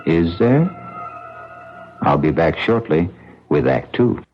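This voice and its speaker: An actor playing an ominous mystery radio host, narrating in a theatrical, deliberate way with suspenseful pauses, on a dull old broadcast. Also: male voice, deep voice, sing-song, over music, full character voice. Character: ominous male host of eerie mystery tales.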